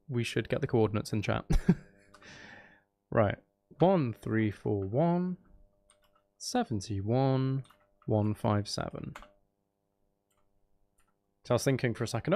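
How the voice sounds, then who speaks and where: A man's voice: medium pitch, moderate pace, gravelly, pauses often, darkish tone. A man hosting a casual gaming livestream, chatting in a playful, teasing, rambling way and sounding a little tired. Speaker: livestream host.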